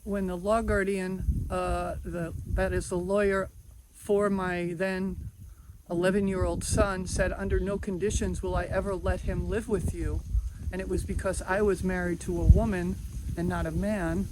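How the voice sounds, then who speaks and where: A woman's voice: fairly low pitch, level tone, normal speed. A middle-aged woman from New York giving an earnest, encouraging inspirational talk outdoors. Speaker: video host. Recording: outdoor noise in background.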